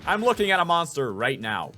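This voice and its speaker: A man's voice: on the high side, big pitch swings, a little fast, sharp tone, faint music behind, voice pushed up. A man voicing an arrogant, condescending prosecutor in a video game, with a clipped, sneering delivery.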